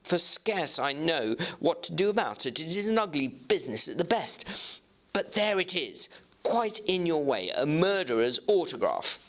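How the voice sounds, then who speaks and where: Man acting a formal Victorian lawyer, animated pitch. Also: normal speed. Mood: grave, confiding. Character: Utterson, discreet troubled male Victorian lawyer.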